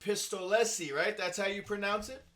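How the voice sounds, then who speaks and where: New york guy hosting, slightly high voice, jokey and friendly. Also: fairly even intonation, normal speed, a bit bright. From New York, USA. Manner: friendly, joking small talk. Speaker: interview host. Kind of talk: casual interview.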